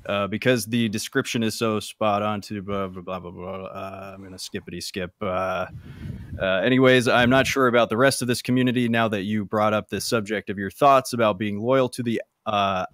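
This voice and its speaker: Man, fairly low pitch, halting casual podcast reading, dry close sound. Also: fairly even intonation, fairly bright tone, no room sound. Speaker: podcast panelist and reader.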